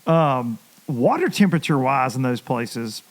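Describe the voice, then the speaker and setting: A man's voice: medium pitch, lively intonation, a little slow. A man from Tennessee, hosting a fishing podcast in a folksy, joking way. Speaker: podcast host. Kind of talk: casual podcast chat.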